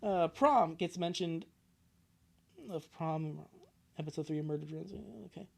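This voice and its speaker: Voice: medium pitch, steady tone, a little slow, gravelly. A streamer chatting casually with viewers in a self-mocking, giggly way, swearing now and then. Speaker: livestreamer. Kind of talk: casual livestream chat, joking with viewers and reading their comments.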